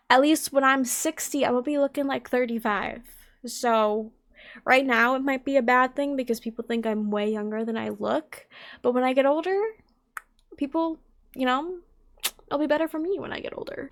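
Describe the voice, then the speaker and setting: A woman in her twenties, chatting casually to camera in a rambling, self-deprecating way with lots of fillers. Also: a little high. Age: 20s. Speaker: streamer and content creator.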